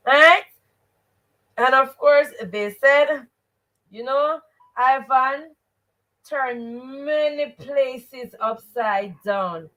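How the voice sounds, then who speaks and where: A woman with a Jamaican accent and a high voice leads a live reading lesson. She speaks slowly and emphatically, prompting listeners with questions as a teacher would. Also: low-quality recording. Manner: expressive, emphatic, repeats rhetorical questions and stock phrases. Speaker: teacher and host of a live read-aloud show.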